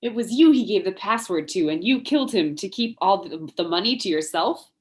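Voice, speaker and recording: Woman as animated, eager young detective's sidekick. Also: medium pitch, brisk, natural voice. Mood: indignant. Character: earnest young female detective's assistant, eager and loyal. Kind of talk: amateur script table read.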